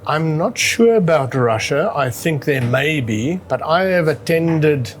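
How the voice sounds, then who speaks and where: A man from South Africa speaking English with an Afrikaans accent, unhurried and deliberate. He pauses and clears his throat between thoughts. A faint noise sits under the voice. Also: a little high, lively intonation. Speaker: interviewee. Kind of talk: measured interview answers.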